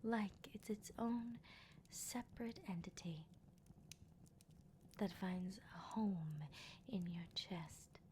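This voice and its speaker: A woman acts out a vampire captor in an ASMR roleplay, speaking in an intimate, whispery voice at a middle pitch. The vampire is menacing yet playful, taunting a captive at a leisurely, teasing pace. Clear background noise and ambience sit behind the voice. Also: slow pace, long pauses, warm tone, close to an everyday voice. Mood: hushed, menacing.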